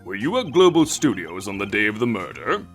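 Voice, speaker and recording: Man as old judge, solemn and formal, slightly low voice, expressive intonation, video game fandub, music underneath. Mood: stern, inquiring. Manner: formal, measured pronouncements. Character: solemn, mildly puzzled elderly male courtroom judge. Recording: music behind.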